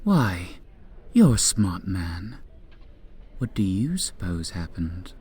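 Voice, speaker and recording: Renfield, a man playing a husky, unhinged asylum madman. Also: low voice, sing-song, exaggerated voice. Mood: taunting. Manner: slow, taunting, lurching between shouts and whispers. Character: unhinged male asylum patient who eats flies. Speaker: asylum patient. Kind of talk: audio drama acting.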